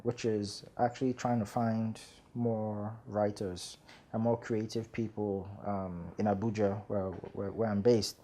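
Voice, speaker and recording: Relaxed, rambling man. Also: on the low side, a little slow. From Nigeria. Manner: relaxed, rambling, many fillers and laughs. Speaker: screenwriter guest. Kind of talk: casual interview chat.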